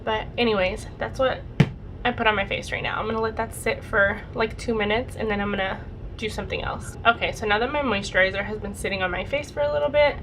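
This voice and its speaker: A young woman vlogger chatting casually through a makeup routine, her voice a bit raspy and her nose congested from a cold. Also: fairly high pitch, slight background noise. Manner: chatty, rambling, lots of "like" and "super". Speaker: beauty vlogger. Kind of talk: casual makeup tutorial chat.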